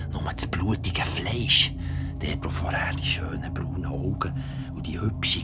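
A man's voice: mid-range voice, close to an everyday voice. A man with a Swiss German accent playing a father who tells his child a creepy bedtime story, theatrical and suspenseful. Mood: hushed, macabre. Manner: theatrical, suspenseful, sometimes whispering. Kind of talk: audio drama storytelling. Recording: music behind the voice. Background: music.

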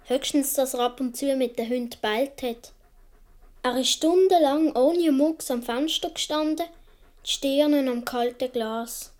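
High-voiced naive Swiss little boy. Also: a little slow, a little mellow. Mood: wistful, matter-of-fact. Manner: naive, colloquial storytelling. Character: seven- or eight-year-old Swiss boy recalling his childhood. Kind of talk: radio play narration.

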